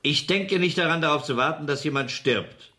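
An actor playing a smug, menacing gangster boss in a German radio crime drama, coolly mocking and commanding. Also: male voice, on the high side, normal speed, sharp tone. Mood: coldly menacing.

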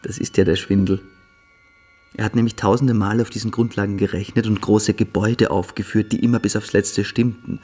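A man's voice: lowish voice, level tone, a little fast, darkish tone, breathy edge, faint music behind. An actor playing a scornful, dogmatic older schoolboy who lectures his friend condescendingly in a German literary radio drama. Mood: challenging.

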